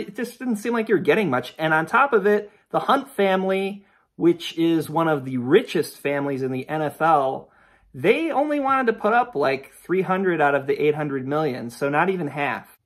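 A man's voice: fairly high pitch, fairly bright tone. A young man in his twenties giving blunt, opinionated sports commentary to the camera from a room at home. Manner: blunt, dismissive, rhetorical questions. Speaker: sports video host.

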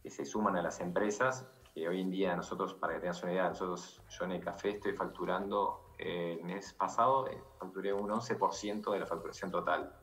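A man's voice: sits low, fairly even intonation, a little fast, few pauses, fairly bright tone. A middle-aged man with a Uruguayan accent, a bar owner speaking remotely as an interview guest in an earnest, matter-of-fact way. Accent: Uruguayan Spanish. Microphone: video call. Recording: echoey video call.

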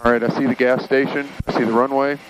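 A man's voice: medium pitch, flat delivery, fast, rough recording. A man flying a small plane, calling out checklist items during a landing, a little nervous, with engine noise around. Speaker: student pilot flying. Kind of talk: cockpit talk during landing. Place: small plane cockpit in flight.

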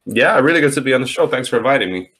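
A man's voice: mid-range voice, animated, racing, a bit bright, husky. A man from Hungary with a Hungarian accent, casually telling his story as a podcast guest. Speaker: podcast guest, software engineer.

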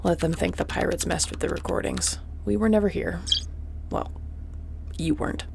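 A woman playing a tough, wry, protective space mercenary captain in a sci-fi audio roleplay, terse and commanding, often hushed. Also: fairly low pitch, moderate pace, gravelly, slight background noise. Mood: wry, resigned.

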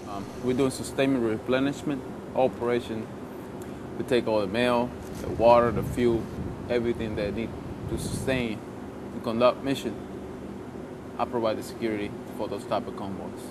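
A man in his thirties from Puerto Rico with a Puerto Rican Spanish accent, a soldier talking casually in an interview, warm and repetitive. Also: mid-range voice, fairly even intonation, moderate pace, pauses often. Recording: noisy field recording.

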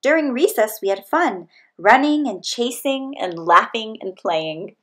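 Woman, slightly high voice, children's read-aloud, scripted narration, expressive and deliberate storytelling. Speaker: storybook reader.

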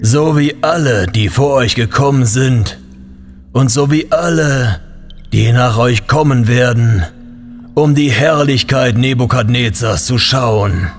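An ancient guardian of a royal tomb, acted by a man, speaking at a slow, stately pace. The delivery is pompous and archaic, as in a fantasy radio play, with faint music behind it. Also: mid-range voice, natural voice. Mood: solemn, menacing. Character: ancient, grandiose male guardian of a king's tomb. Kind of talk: audio drama acting.

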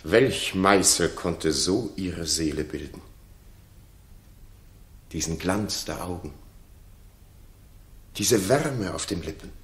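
An older king full of grief and remorse. His low delivery is slow and fervent, in the grave stage manner of an old radio play. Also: lively intonation. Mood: remorseful awe. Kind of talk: 1960s German radio drama acting. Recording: roomy 1960s radio recording.

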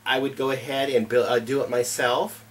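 A man's voice: medium pitch, steady tone, normal speed, far from the mic. A middle-aged man who hosts a homesteading channel, answering interview questions in an earnest, plain manner with frequent "uh" fillers, seated in a home library. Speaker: homesteading YouTube channel host. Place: home library with bookshelves and fireplace.

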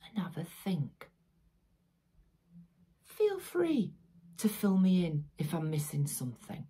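Slow, husky, menacing older female crime boss, acted. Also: deep voice, darkish tone, heavily acted. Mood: quiet menace. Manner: slow, deliberate, dropping to menacing near-whispers. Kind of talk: dramatic acted monologue. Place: small plain-walled room indoors.

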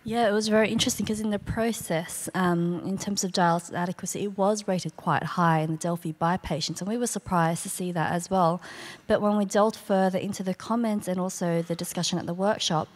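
A woman's voice: fairly low pitch, fairly even intonation, a little fast. A woman in her thirties, an academic, explaining research findings on a conference panel into a handheld microphone. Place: large conference hall stage.

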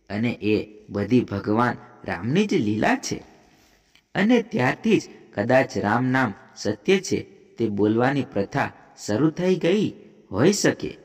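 A male storyteller with a Gujarati accent narrates a devotional moral tale. His voice sits at a medium pitch and moves up and down in an animated way as he reads from a script. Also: husky. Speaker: storyteller, channel host.

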